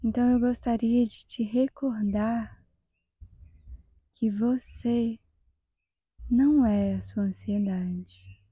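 Soft, very mellow Brazilian woman guiding meditation. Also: fairly high pitch, no room sound. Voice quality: soft, breathy, half-whispered. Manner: calm, soothing, measured with long pauses. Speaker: guided meditation instructor. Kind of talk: guided meditation narration.

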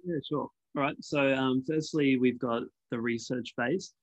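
A man explaining the award submission process on a webinar in a measured way, often pausing on ums. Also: mid-range voice, fairly even intonation, rough recording. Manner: measured, many ums and restarts. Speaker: awards consultant guest.

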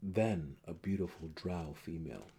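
A man's voice: deep voice, normal speed, dark tone, breathy edge. A man narrating a fantasy audiobook dramatically, with theatrical emphasis.

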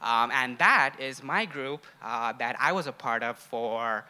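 A young man who grew up in Sri Lanka and India addresses a church congregation. His voice is strikingly bright and forceful, sitting at an average pitch. He talks in a conversational, personal way, like an informal opening to a sermon. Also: measured pace. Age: young adult. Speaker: guest preacher, grad student.

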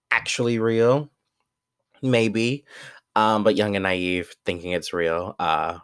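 Man, twenties, very deep voice, dry close sound, relaxed candid delivery. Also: clear, resonant tone, no echo. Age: 20s. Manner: relaxed and candid, with frequent fillers and self-corrections. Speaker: vlogger. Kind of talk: reading his own essay aloud, then casual reflection.

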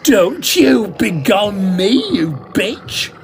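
A man's voice: very high voice, huge pitch swings, unhurried, a little mellow, soft background music, pitched above the performer's own voice, exaggerated voice. A man voicing a drunken pantomime actor who slurs and rants indignantly. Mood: drunken ranting. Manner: slurred, ranting, indignant.

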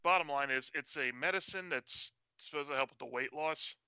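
A man's voice: on the high side, fairly quick, sharp tone, clean tone, no room sound. A man of forty-one chatting casually as a co-host, in a hesitant, self-deprecating way. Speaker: show co-host. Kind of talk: casual chat.

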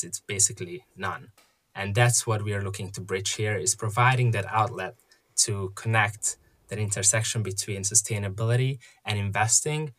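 Man, fairly low voice, measured explainer, podcast guest. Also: a bit bright. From Hungary. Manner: measured, explanatory, frequent uh fillers. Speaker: guest, investment app founder. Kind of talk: podcast interview.

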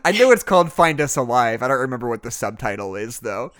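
A man's voice: mid-range voice, lively intonation, fast talker, fairly bright tone, clean tone. A young man hosting a podcast in a casual chat, rambling and joking at his own expense. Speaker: podcast host.

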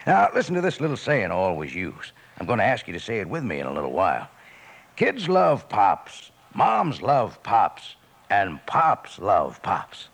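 Swooping, gravelly cowboy pitchman. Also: wildly swooping pitch, a little slow, fairly bright tone, heavily acted. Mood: jovial, coaxing. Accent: American. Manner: folksy, chummy. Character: jolly folksy cowboy pitchman for young listeners. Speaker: advertising pitchman. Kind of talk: 1950s radio commercial.

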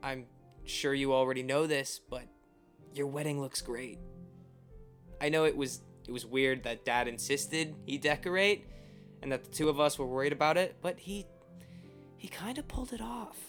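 A man's voice: mid-range voice, moderate pace, fairly bright tone, faint music behind, natural voice. An actor playing a teasing, affectionate younger man recording an informal message to his sister in a radio play. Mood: sincere, fond. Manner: chatty, wry, joking. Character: Greg, teasing affectionate young brother of the bride.

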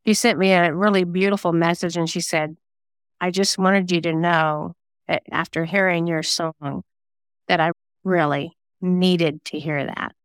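A woman talks as a guest in a conversational interview. Her voice sits a little low and is smooth and clean. She explains things at length with informal asides, in a dry recording with no room sound. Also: steady tone, measured pace, no echo. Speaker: guest, singer-songwriter and self-published novelist.

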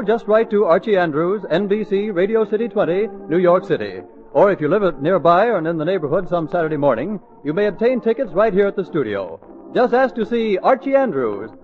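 A man's voice: high-pitched, big pitch swings, a little fast, faint music behind. A man reading a scripted sign-off as a 1940s radio announcer, polished and formal. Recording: muffled 1940s radio broadcast.

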